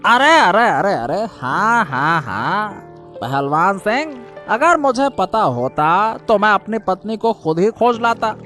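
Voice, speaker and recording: A man's voice: high-pitched, very animated, takes it slowly, pushed and bright, faint music behind, full character voice. A man voicing a scheming, defensive boatman in a cartoon story, flustered and exclamatory, often repeating his words. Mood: anxious scheming. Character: scheming, defensive male boatman hiding a secret. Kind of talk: Hindi moral-story cartoon dubbing.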